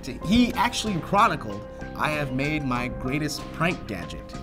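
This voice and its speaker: A man's voice: a little high, big pitch swings, moderate pace. A man telling comic stories in a slangy way, acting out the quotes as he goes. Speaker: comedian talking head. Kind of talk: comic storytelling to camera. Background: music.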